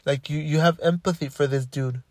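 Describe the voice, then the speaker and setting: Man, casual rambling monologue, many restarts, slightly high voice, dry close sound. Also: steady tone, a little fast, darkish tone, no room sound. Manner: rambling, frequent restarts and repeated words. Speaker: commentator.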